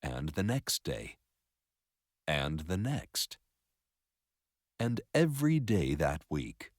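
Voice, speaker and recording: A man narrating a story in an expressive educational read-aloud style. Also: rumbling low, slow pace, no echo, studio quality.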